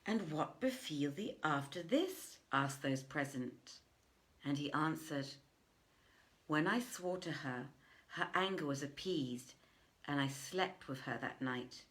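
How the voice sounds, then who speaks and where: A middle-aged woman reads old tales aloud with theatrical weight. Her voice sits slightly low, and she takes her time, moving very slowly and setting phrases apart with deliberate, emphatic pauses. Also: animated, a bit bright. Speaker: storyteller reading aloud. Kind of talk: theatrical live reading of old tales in archaic English. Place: indoors, living room by a fireplace.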